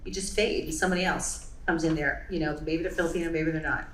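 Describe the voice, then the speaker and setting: A woman's voice: sits low, fairly even intonation, fast talker, recorded from a distance. A woman presenting to a live audience in a chatty way, often checking in with "right?" and "you know". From United States. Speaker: event speaker. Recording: echoey room, voice off-mic.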